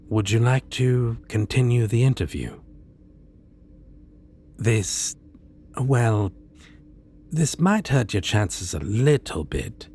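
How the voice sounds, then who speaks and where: A man's voice: lowish voice, a little mellow, slight background noise. A man playing a theatrical, suave and condescending costumed supervillain in an ASMR roleplay. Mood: amused, inviting. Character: theatrical, stately, amused supervillain in costume interviewing a minion.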